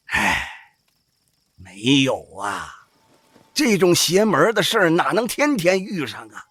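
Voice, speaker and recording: Raspy old folksy opera boss, high for a man, drags his words, sighs a lot, audio drama. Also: wildly swooping pitch, slow pace, a bit bright, dry recording, full character voice. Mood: weary, sighing. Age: older. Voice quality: raspy, creaky. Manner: drawling, sighing, folksy exclamations. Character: old village opera-troupe boss, folksy, worldly, cautious.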